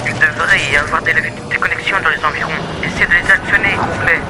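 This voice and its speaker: A man voicing an anxious, reluctant toy creature with a halting delivery, in an amateur horror game dub. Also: fairly high pitch, fairly even intonation, heavy background noise, lightly acted. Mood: urgent. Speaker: amateur voice dubber.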